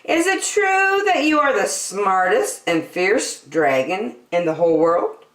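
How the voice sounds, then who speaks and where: A woman voicing a bold young princess from a storybook, flattering and cunning, with loud drawn-out exclamations. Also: medium pitch, far from the mic, pitched above the performer's own voice. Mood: eager flattery. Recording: roomy indoor echo.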